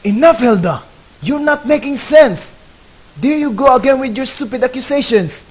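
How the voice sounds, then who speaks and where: A man playing a loving father in a student radio drama, gentle with his child and heated in arguments with his wife. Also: very high voice, animated, moderate pace, breathy edge, heavily acted. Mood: angry. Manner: soft, tender storytelling to his child. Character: loving father, middle-aged man, gentle then angry husband. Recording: noisy amateur recording with hiss.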